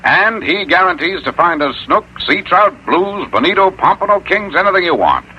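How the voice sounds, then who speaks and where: Bright, animated American pal. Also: male voice, sits high, moderate pace, husky, heavily acted. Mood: coaxing. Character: eager, coaxing insurance man and fishing pal. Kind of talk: old-time radio drama acting. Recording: dull, faintly noisy vintage radio recording.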